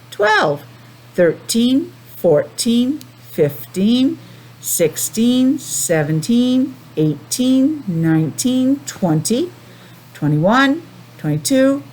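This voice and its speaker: A woman's voice: a little high, very animated, drawn-out words, darkish tone, rough recording. A woman teacher reading a picture book to young children, patiently asking questions and explaining things simply. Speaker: preschool teacher. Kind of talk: children's read-aloud with explanations.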